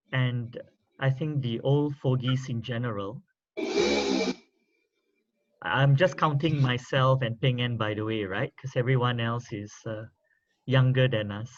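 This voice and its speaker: A middle-aged man casually hosting an online meeting as its facilitator. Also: mid-range voice. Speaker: session facilitator. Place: indoors on a group video call. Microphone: video call microphone. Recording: dull video-call audio.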